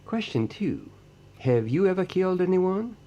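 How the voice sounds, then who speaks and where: An actor playing a short, polite hillbilly ghost hunter's assistant in an audio drama, folksy and deferential. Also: male voice, high voice, sing-song, moderate pace, warm tone, dull audio. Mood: polite, businesslike questioning. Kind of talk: audio drama character dialogue.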